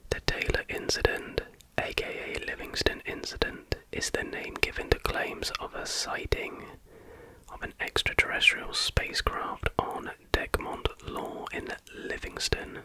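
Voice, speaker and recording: A man whispering in a breathy, hushed voice, reading aloud informally in an ASMR style. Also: very high-pitched, low-quality recording. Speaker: ASMR host. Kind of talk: whispered ASMR reading of stories with casual asides.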